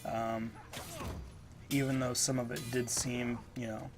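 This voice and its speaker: A man's voice: mid-range voice, little pitch movement, a little slow. A stuffed-up man casually rambling through a voice-over game review, with many ums and restarts. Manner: rambling, lots of fillers. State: stuffed up, congested. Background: game footage audio and music.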